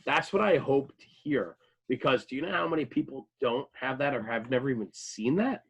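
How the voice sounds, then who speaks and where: A man's voice: mid-range voice, animated, a little fast, creaky, husky. A man chatting casually as a radio show host, informal and enthusiastic, with plenty of slang. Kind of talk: casual chat.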